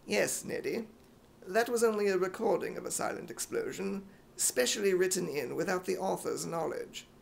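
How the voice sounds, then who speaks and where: A man playing a smooth-talking, scheming gentleman villain with a British accent, dry and understated, in a radio comedy. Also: sits high, moderate pace, slight background noise, pitched below the performer's own voice. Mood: smug, dry. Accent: imitated British. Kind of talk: 1950s radio comedy acting.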